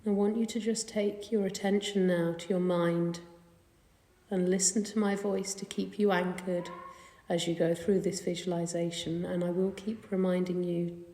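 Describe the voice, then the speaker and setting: A woman leading a guided meditation in a gentle, soothing, unhurried manner. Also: lowish voice, flat delivery. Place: indoor carpeted room. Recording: echoey room with long reverb.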